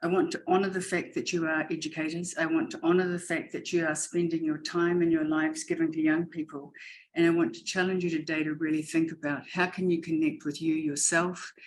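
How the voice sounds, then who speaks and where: Flat-toned New Zealand woman speaker. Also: deep voice, brisk, a little hiss or hum, rough recording. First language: Māori. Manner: earnest and steady, with repeated rhetorical questions. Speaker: education coach and panelist. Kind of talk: warm motivational talk.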